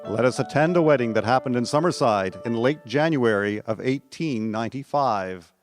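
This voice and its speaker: A man's voice: mid-range voice, expressive, moderate pace, faint music behind. A man narrating scripted local history for a radio drama.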